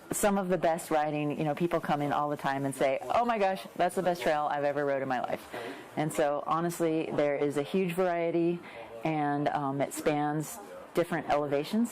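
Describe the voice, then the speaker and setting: A woman's voice: sits low, moderate pace, a little mellow, poor audio. A woman answering interview questions conversationally as a local shop representative.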